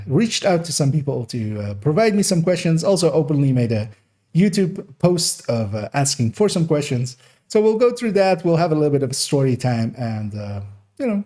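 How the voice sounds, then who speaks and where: A man's voice: a little high, mellow. A young man born in Iran and raised in the Netherlands, with Farsi as his first language and a mixed Persian, Dutch and American accent, rambling through a Q&A for his gaming channel with many fillers and restarts. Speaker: gaming YouTuber. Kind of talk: rambling Q&A monologue.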